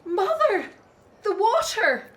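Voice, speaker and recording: A woman's voice: extremely high pitch, big pitch swings, slow, fairly bright tone, full character voice. A woman playing a dutiful, outspoken grown daughter in an audio drama set in ancient Egypt. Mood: alarmed, disgusted.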